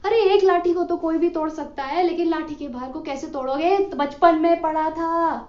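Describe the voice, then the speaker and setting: A woman's voice: very high-pitched, steady tone, normal speed, a little mellow. A woman acting a bitter, condemned male revolutionary in a theatrical monologue, scolding an apathetic crowd with impassioned scorn. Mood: angry. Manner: scornful, impassioned, declamatory with sarcastic commands and rhetorical questions. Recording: muffled lo-fi echoey room recording.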